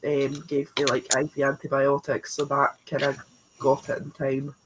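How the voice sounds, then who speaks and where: A woman's voice: a bass voice, completely flat delivery, moderate pace, background noise. A young woman chatting as a guest, her nose blocked and stuffy, giggly and chatty. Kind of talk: casual chat on a call.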